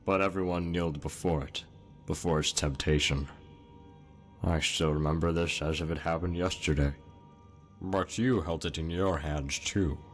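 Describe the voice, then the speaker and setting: An actor playing an ancient, world-weary demon lord in a video game, sneering and commanding. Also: male voice, rumbling low. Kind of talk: dramatic video game acting. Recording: music behind the voice.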